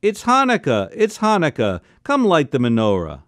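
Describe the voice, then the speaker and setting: A man's voice: on the high side, wildly swooping pitch, moderate pace, fairly bright tone, dry recording, studio quality. A man voicing an exasperated mother in a comic family story, dramatic and full of complaint. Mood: pleading.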